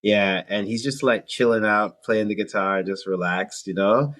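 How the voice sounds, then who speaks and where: A man from Canada, a guest musician in a podcast interview, talking in a relaxed, laid-back way with fillers and small laughs. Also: lowish voice, moderate pace. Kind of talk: casual interview talk.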